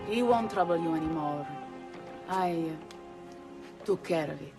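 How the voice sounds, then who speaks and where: Poised flirty noblewoman, game cutscene, lowish voice, music behind. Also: takes it slowly. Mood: poised, flirtatious. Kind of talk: video game acting.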